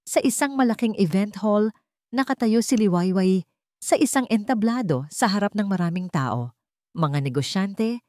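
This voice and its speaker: A woman's voice: on the low side, a little mellow, dry recording, clean studio sound. A woman reading a scripted dramatic story as its narrator.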